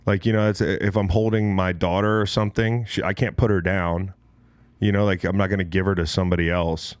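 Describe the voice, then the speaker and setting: A man's voice: deep voice, steady tone, fairly quick, no echo. An American man around forty, bantering bluntly on a casual sports podcast as host, swearing freely as he tells stories.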